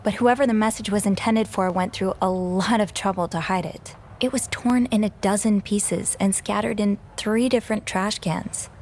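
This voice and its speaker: A woman playing an empathetic, curious young hotel maid in a video game, speaking in an earnest, gentle, thoughtful way. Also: mid-range voice, steady tone, normal speed, few pauses, slight background noise, close to an everyday voice. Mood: confiding. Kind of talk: naturalistic video game voice acting.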